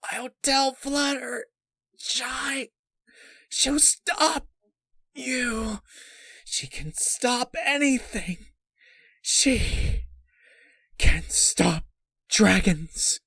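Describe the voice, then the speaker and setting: A woman voicing a dying, burned female pony, desperate and tearful, with a slow, strained, creaky delivery in a dark fan-fiction story. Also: high-pitched, no echo, studio quality, pitched above the performer's own voice, full character voice. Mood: desperate, crying out.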